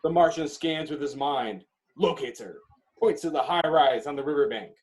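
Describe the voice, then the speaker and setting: Higher-voiced man narrating dramatically. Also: darkish tone. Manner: emphatic, clipped phrases with dramatic pauses. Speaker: narrator reading stage directions.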